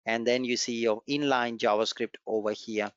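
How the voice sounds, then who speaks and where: A man whose first language is Gujarati leads an online programming class. He encourages the students and goes over each step more than once. His voice sounds dull and dry, like a low-fi recording from a computer mic. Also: steady tone, normal speed, clean tone, no echo. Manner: encouraging, repeats key points, walks through code step by step. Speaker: programming instructor. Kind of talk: live online coding class.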